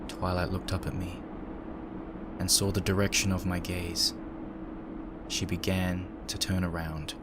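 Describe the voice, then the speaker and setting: Male narrator for dark audio fiction, deep register, somber and measured, heavy sound effects behind him. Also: flat delivery, heavy background noise. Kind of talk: dramatic first-person story narration. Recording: loud engine and ambient sound effects. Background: background sound effects of ambience and machinery.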